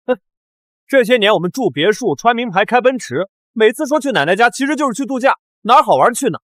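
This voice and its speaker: A spoiled teenage brother in a short drama. His voice is high and lively, sly and taunting, with a dry, close sound. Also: fast talker, no room sound. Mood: smug, taunting. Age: young adult. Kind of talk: melodramatic short-drama acting.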